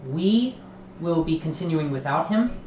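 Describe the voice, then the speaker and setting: A man hosting a live tabletop role-playing stream as game master, moving from an upbeat welcome into a steady recap of the story. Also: a little high, a little slow, roomy sound, highs cut off, a little hiss or hum. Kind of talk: livestream tabletop game narration.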